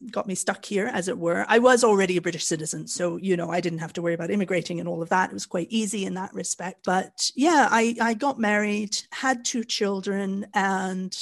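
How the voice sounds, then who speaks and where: A middle-aged woman from Canada with a Canadian accent, co-hosting a podcast in a measured storytelling way with frequent fillers. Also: mid-range voice, vocal fry. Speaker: podcast co-host. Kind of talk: casual podcast chat.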